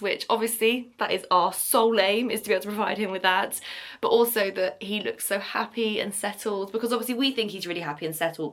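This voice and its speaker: A young woman vlogging casually into her phone while out walking her dog in the morning, chatty and upbeat, with lots of fillers and a slightly croaky morning voice. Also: medium pitch, fairly bright tone. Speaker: lifestyle vlogger. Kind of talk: casual vlog chat to camera. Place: outdoors on a morning walk. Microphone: phone held while walking.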